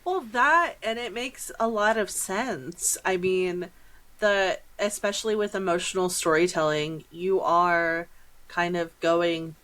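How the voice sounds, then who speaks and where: Low-voiced woman hosting a chatty podcast. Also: takes it slowly, fairly bright tone. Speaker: podcast host. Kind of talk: casual chatty podcast interview. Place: small room at home. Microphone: own podcast microphone.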